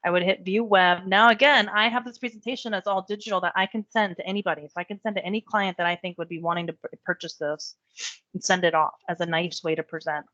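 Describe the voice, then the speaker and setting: A woman giving a chatty step-by-step software tutorial while losing her voice. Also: lowish voice, fairly even intonation, fast talker, no echo, lo-fi sound. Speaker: real estate software trainer. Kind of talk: screen-share software tutorial.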